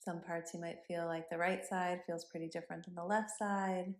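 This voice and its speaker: A woman yoga instructor leading a body scan meditation in a gentle, instructive manner with pauses between cues. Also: lowish voice, level tone. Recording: roomy, echoing sound.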